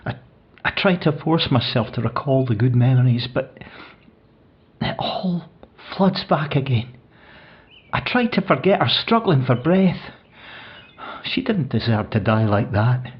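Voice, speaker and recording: A husky, grieving older widower. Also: male voice, mid-range voice, sing-song, measured pace, pauses often, lo-fi sound. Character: grieving widowed man, older, tender, wistful, reminiscing. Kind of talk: audio play dramatic monologue.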